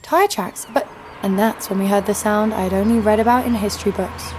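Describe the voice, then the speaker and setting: A woman's voice: mid-range voice, steady tone, fairly quick, darkish tone, slight background noise, natural voice. A woman playing a tough, sardonic fighter who narrates her own story with dry humour in an audio drama. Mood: wry. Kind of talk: scripted first-person audio drama narration.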